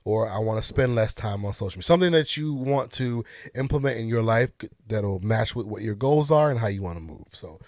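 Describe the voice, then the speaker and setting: A man's voice: lowish voice, animated, a little fast, few pauses, darkish tone, dry recording, clean studio sound. Easygoing man with a stuffy nose. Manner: easygoing, affirming, laughs along. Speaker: podcast host. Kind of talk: casual chat. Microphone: headphones and studio mic.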